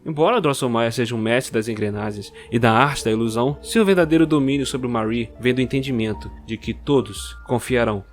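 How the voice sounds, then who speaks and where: A man narrating a dark story in a measured, dramatic way. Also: medium pitch, faint music behind. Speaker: narrator and producer.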